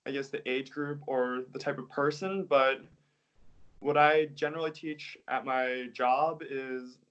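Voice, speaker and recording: A young man talks through his answers in an easygoing, unhurried way, sprinkling in "like" and "I guess." His voice sits at a middle pitch. The audio is a bit dull and lo-fi, like a video call. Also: smooth. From United States. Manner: relaxed, hedging with "like" and "I guess". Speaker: interview guest, English teacher. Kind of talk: casual interview answers.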